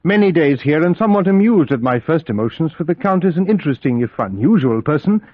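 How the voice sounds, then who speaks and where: An actor playing an earnest, uneasy young male solicitor in an old-time gothic radio play, measured and theatrical. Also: a little high, expressive. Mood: musing, uneasy. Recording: tinny, narrow vintage radio recording. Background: music.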